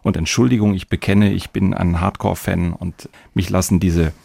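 Male podcast host, low register with fry, conversational interviewer. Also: steady tone, normal speed, mellow, no room sound.

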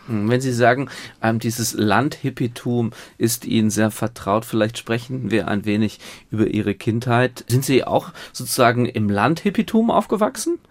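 A man's voice: medium pitch, normal speed, few pauses, no room sound. A man hosting a radio interview, putting questions in a conversational style.

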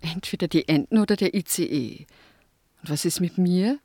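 An actress playing a blunt, impatient small-town secretary and lover in a film drama, colloquial and teasing. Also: female voice, on the low side, normal speed, a little mellow, no room sound, natural voice. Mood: teasing impatience. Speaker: actor. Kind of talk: naturalistic film drama dialogue.

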